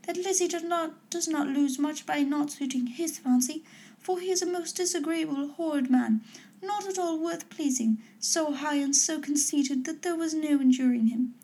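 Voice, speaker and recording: Woman putting on a chattering middle-aged mother, high fluttery voice, soft mellow tone. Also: normal speed. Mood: indignant. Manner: breathless, fluttery gossip.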